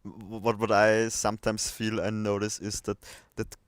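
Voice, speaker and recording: A man chatting casually on a livestream, halting and repeating his words as he goes. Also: lowish voice, completely flat delivery.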